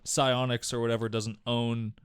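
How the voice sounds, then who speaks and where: A man's voice: mid-range voice, level tone, normal speed, clean tone, no room sound, clean studio sound. A man in his twenties co-hosting a casual entertainment news chat in a laid-back, offhand way. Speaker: co-host of a gaming and film news show.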